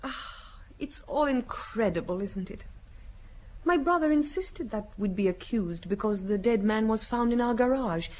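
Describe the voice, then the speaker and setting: A woman's voice: a little high, lively intonation, a little mellow. A hesitant, enigmatic lady suspect. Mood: uneasy. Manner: hesitant, formal phrasing with deliberate pauses. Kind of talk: audio drama dialogue.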